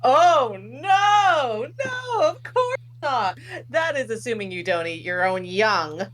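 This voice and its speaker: A woman's voice: on the high side, very animated, slow pace, a bit bright, heavily acted. A woman voicing a snobbish, irritable king and disapproving father in a comedic table read, haughty and scornful. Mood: outraged. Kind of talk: comedic table read of animated film script.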